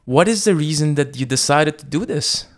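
A man's voice: on the high side, normal speed. A man hosting a podcast, asking questions in a friendly, joking, casual way. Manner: friendly, joking, lively asides. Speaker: podcast host. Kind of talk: casual interview.

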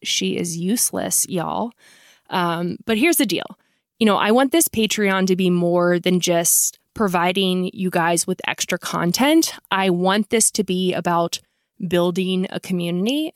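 A woman's voice: on the low side, no room sound, clean studio sound. A woman hosting a podcast in a casual, blunt manner, swearing freely and poking fun at herself. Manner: blunt and profane, self-mocking. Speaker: podcast host.

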